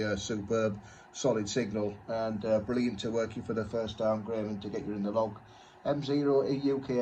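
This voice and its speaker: A man chatting as an amateur radio operator, speaking in short, halting pieces. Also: mid-range voice, a little mellow, slight background noise, low-quality recording. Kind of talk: unscripted ham radio contact chat.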